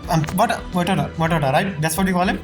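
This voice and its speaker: A woman's voice: fairly low pitch, quick pace, faint music behind. A woman streaming a game with casual, teasing, interjected commentary on the story scenes.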